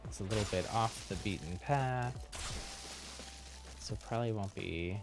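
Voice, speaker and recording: A man's voice: on the low side, measured pace. A man doing casual live game commentary, thinking aloud in a mild-mannered way. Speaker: game livestreamer. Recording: loud game music behind the voice. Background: loud game music.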